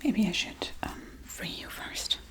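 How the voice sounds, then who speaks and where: A woman playing a forgetful, darkly playful witch in a soft-spoken, whispery ASMR role-play, murmuring gently and absent-mindedly. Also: unhurried, lightly acted. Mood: amused, musing. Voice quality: breathy, whispery.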